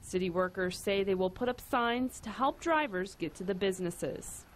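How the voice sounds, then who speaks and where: A woman narrating a TV news report in a crisp, even reading. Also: mid-range voice, moderate pace. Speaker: field reporter.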